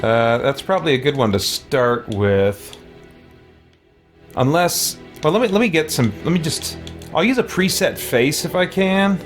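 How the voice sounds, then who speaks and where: A man with a mid-range, very lively voice gives casual gameplay commentary. He takes his time, musing aloud tentatively over game music. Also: on the high side, unhurried. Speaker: let's play streamer.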